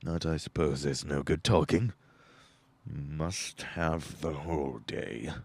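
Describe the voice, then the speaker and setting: Deep, grumpy old miser, acted by a man. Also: fairly even intonation, slow pace, gravelly, darkish tone, no echo, heavily acted. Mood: grudging, scornful. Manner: curt, grumbling, barking orders. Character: grumpy, miserly old male employer, cold and scornful. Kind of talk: radio play acting.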